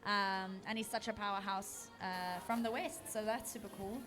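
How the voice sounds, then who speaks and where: A woman's voice: mid-range voice, steady tone, moderate pace. A woman from Zimbabwe, a singer being interviewed on radio, talking earnestly with many ums and restarts. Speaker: singer guest. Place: radio studio. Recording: radio studio with music behind.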